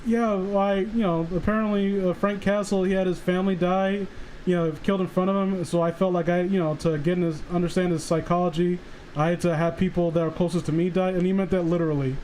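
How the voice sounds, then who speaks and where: A man hosting a casual livestream chat, rambling excitedly and swearing freely like an enthusiastic fan. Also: high-pitched, fast talker, a little hiss or hum, rough recording. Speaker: livestream host. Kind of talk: casual chat about comic book characters.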